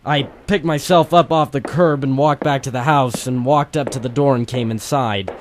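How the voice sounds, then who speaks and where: A man's voice: mid-range voice, steady tone, normal speed, a little hiss or hum, close to an everyday voice. A man playing a hardboiled private eye, narrating dramatically and wryly in the style of an old-time noir radio drama. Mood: brooding. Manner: wry, dramatic first-person storytelling. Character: hardboiled male private eye narrating his own case.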